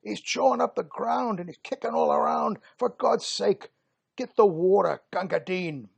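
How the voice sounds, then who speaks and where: A man reciting dramatic verse as a gruff working-class British soldier of colonial India, theatrical and emphatic, with slangy, clipped word endings. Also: high-pitched, huge pitch swings, moderate pace, voice pushed up, heavily acted. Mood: barking, urgent. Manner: theatrical, emphatic, dropped g's and slangy phrasing.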